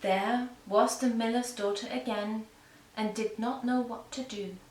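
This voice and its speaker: A woman tells a children's fairy tale slowly and deliberately in a fairly high voice. She is a little far from the mic in an echoey room. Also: fairly even intonation, a little mellow. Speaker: storyteller and puppeteer. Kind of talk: scripted fairy-tale narration for young children. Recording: echoey room, distant mic, faint noise.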